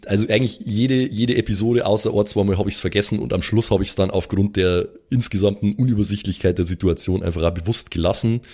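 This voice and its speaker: A man's voice: fairly low pitch, steady tone, fast, hardly any pauses, darkish tone. A man with an Austrian accent talking casually and reflectively as a game master, dropping English gamer slang into his German. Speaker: tabletop game master and podcast regular.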